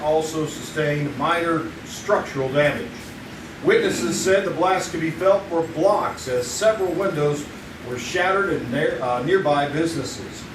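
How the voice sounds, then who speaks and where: A man playing a brisk 1950s radio newsreader. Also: on the high side, natural voice. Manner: brisk announcer delivery. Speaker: radio announcer. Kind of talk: 1950s radio news bulletin. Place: live theater stage. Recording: echoey live theater from far off with room noise.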